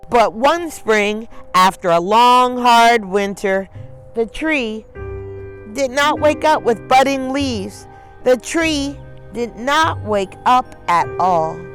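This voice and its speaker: A woman storyteller reads a picture book aloud to children, expressively, in deliberate phrases with dramatic pauses. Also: a little high, expressive, soft background music.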